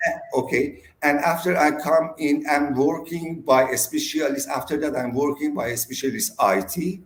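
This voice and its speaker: A man from Iran with an Iranian accent, a native Persian speaker giving interview answers in halting, broken English. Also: on the high side, fairly even intonation. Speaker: interview guest. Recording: roomy echo.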